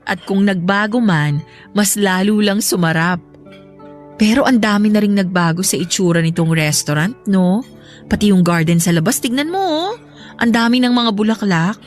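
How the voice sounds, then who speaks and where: A woman's voice: medium pitch, expressive, moderate pace, close to an everyday voice. Woman acting a practical girlfriend in romantic drama. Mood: fond, chatty. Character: contented adult girlfriend, practical, unconvinced about marriage. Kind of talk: Filipino audio love-drama acting. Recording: music bed behind the voice.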